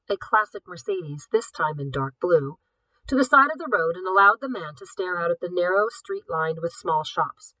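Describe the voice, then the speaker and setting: A woman reading a novel aloud in an expressive, deliberate storytelling manner. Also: lowish voice, no echo, distant mic, rough recording. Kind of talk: scripted audiobook narration.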